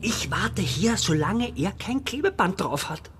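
A man's voice: sits high, big pitch swings, a little slow. A panicky, whining man of about forty in a radio play. His voice swings widely as he pleads and repeats himself like an overgrown child. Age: 40s. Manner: nervous, pleading, repetitive. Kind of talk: radio drama dialogue.

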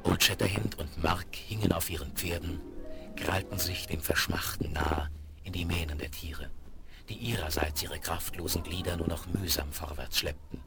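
A man narrating a German western radio play in a grave, deliberate, vivid storytelling style. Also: deep voice, steady tone, gravelly. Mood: grave, suspenseful. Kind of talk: dramatic scripted story narration. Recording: 1970s vinyl radio play. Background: loud music.

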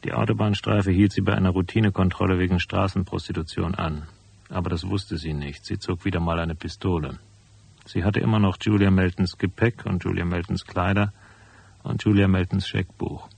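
An actor playing a stubborn, hardboiled private detective in a German radio drama, dry, laconic and matter-of-fact. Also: male voice, low-pitched, fairly even intonation, moderate pace, creaky, darkish tone, breathy edge, full character voice. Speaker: voice actor and first-person narrator.

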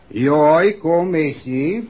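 A man's voice: on the high side, slow, warm tone, breathy edge. A man playing an obliging, folksy country inn servant with a deferential, colloquial way of talking in a 1960s radio drama. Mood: eager to please. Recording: muffled, noisy 1960s radio recording.

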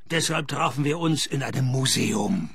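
An actor playing a dry, world-weary, cheeky private detective in a radio play, deadpan and laconic in dialogue and narration. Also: male voice, mid-range voice, normal speed, vocal fry, breathy edge, full character voice. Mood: wry, deadpan. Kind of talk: radio play acting and first-person narration.

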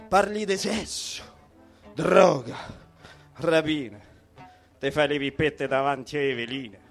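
High, animated man performing rhythmic verse. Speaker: spoken-word poet performer. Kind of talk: rhythmic rap-like poetry recital. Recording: live performance over plucked guitar. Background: plucked guitar music.

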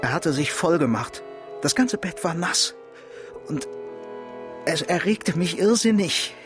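A man's voice: fairly high pitch, animated, slow pace, music in the background. A man playing a guilt-ridden, volatile bank robber confessing intensely and confrontationally in a German radio drama. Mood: tormented.

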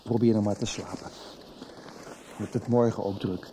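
A man playing a sleepy, patient middle-aged husband in a radio soap, calm and reassuring. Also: lowish voice, normal speed, a little hiss or hum, lightly acted. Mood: soothing. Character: sleepy, patient husband soothing his wife. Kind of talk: Dutch radio soap acting.

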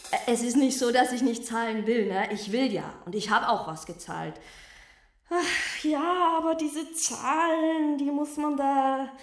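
A woman's voice: high-pitched, a little slow, roomy sound. An actress playing an apologetic midwife in her forties, rambling and hesitant as she justifies herself in a radio drama. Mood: flustered, apologetic. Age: 40s. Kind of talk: naturalistic radio drama testimony.

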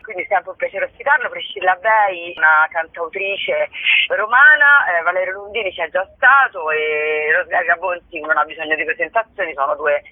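A woman's voice: on the low side, normal speed, no echo. A woman chatting as a guest in a casual interview, often hesitating and searching for words. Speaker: interview guest, performer and writer. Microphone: phone call. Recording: thin, band-limited telephone line.

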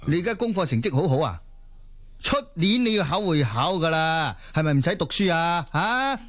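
A man's voice: fairly high pitch, very animated, normal speed, fairly bright tone. A man playing a short-tempered middle-aged father in a Cantonese audio drama, scolding and blustering with many interjections. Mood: angry, scolding.

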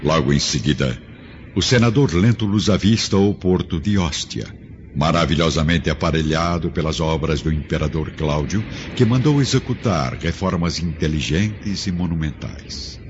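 A man narrates a radio drama in a very deep voice with animated, theatrical intonation. His Brazilian-accented delivery is solemn and literary, and the recording is lo-fi. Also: normal speed, noisy background. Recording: dull lo-fi radio production, music underneath.

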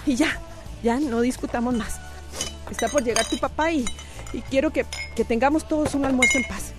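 Woman playing a frazzled costa rican mom, high voice, scolding and pleading, music behind her. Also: moderate pace, natural voice. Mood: stern, pleading. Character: harried, strict, protective mother of a teenage girl. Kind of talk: radio drama acting.

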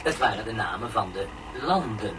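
An actor playing a solemn narrator of a futuristic educational documentary, in scripted style. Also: male voice, lowish voice, animated, moderate pace, background noise, lightly acted. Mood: solemn, explanatory. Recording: far-off television sound with loud music.

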